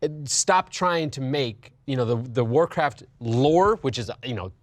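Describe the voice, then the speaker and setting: A man's voice: mid-range voice, animated, unhurried, dry recording. Man in an emphatic casual discussion, dry sound. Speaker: talk show panelist.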